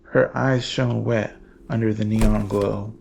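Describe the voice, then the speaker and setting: Male horror narrator, solemn and portentous, very slow pace, creaky voice, dramatic pauses, slightly muffled recording. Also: on the low side, fairly even intonation, darkish tone. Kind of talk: scripted horror story narration.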